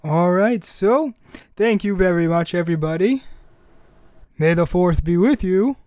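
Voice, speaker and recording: A man's voice: sits high, unhurried, a little mellow, no room sound, studio quality. A man hosting a casual podcast, chatting informally and a little hesitant when he improvises. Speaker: podcast host.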